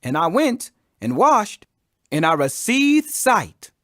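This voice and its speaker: A man's voice: sits high, very animated, takes it slowly, a bit bright, no echo, studio quality, exaggerated voice. A man voicing a plainspoken, defiant beggar healed of blindness, emphatic and declamatory, in a scripture reading. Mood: earnest, plain.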